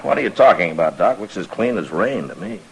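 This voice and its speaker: Deep-voiced, laconic western marshal. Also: male voice, fast talker, slightly rough, heavily acted, rough recording. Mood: wry. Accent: American. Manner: laconic, steady. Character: stern, dry-humored male frontier US marshal. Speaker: lead actor and narrator. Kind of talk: old-time radio western acting and narration.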